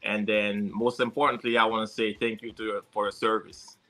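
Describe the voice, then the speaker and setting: Bright-voiced man with a heavy Haitian accent. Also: on the low side, level tone, normal speed, faint music behind. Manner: hesitant, many fillers and restarts. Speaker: guest, security consultant. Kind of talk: casual interview chat.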